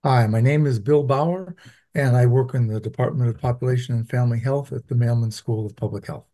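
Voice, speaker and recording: A man from Venezuela who teaches public health, answering interview questions in a measured, explanatory way with frequent ums. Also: mid-range voice, dark tone. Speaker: public health professor, guest.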